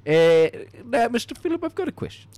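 A man's voice: very high voice, big pitch swings, normal speed, a little mellow, no room sound. A man co-hosting a comedy podcast, asking questions in a playful, mock-formal way. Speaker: podcast co-host.

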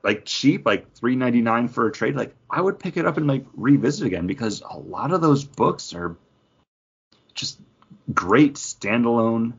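A man's voice: medium pitch, animated, moderate pace, husky. A man co-hosting a podcast, chatting casually and informally. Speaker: podcast co-host. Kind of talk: casual chat about comics and craft beer. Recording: slightly dull, lo-fi podcast audio.

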